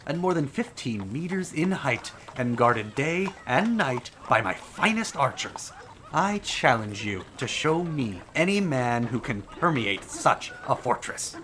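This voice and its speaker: An actor playing a haughty, exuberant, self-deluded prince in a theatrical, declamatory audio drama style. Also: male voice, medium pitch, very animated, a little slow, a bit bright, slight background noise. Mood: smug. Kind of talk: full-cast audio drama acting.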